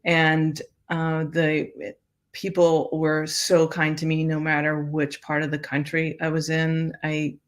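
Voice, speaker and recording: A woman's voice: deep voice, completely flat delivery, slow. An older woman hosting an interview show, talking casually with many fillers and restarts. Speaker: host.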